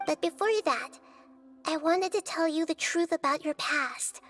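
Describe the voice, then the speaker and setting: An actress voicing a gentle, childlike, wise little female deity in a video game, speaking in a measured, thoughtful way. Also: sits high. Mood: frank, composed. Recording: background music under voice.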